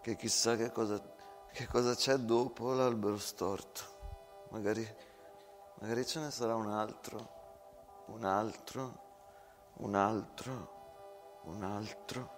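Slow, theatrical man narrating in a hall. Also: on the low side, steady tone. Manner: measured, short phrases with long pauses. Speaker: actor and storyteller. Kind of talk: dramatic theatrical narration of a war story. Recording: long hall reverb with guitar music. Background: guitar music.

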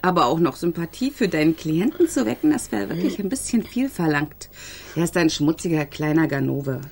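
Female court reporter, shrewd, amused, sceptical, lower-middle pitch, naturalistic radio crime drama. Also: animated, a little fast, vocal fry, darkish tone, slight background noise. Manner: needling, amused, questioning tags.

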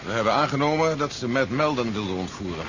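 An actor playing a brisk, fatherly army general in a Dutch science fiction radio drama, authoritative and measured. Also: medium pitch, lively intonation, fairly bright tone, natural voice. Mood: grave, businesslike. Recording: dull, noisy 1970s radio recording.

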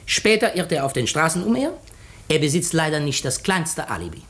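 An actor playing a shrewd, self-assured male detective, deliberate and dramatic, in a 1950s radio play. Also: on the high side, sing-song, fairly bright tone. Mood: dramatic, accusing.

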